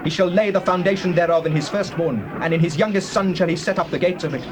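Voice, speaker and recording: An actor playing a commanding, fervent Israelite war leader, declaiming in solemn scriptural language for an audio Bible drama. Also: male voice, sits high, fast talker, natural voice. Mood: stern, commanding. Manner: declamatory, archaic solemn oratory. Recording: noisy old cassette tape.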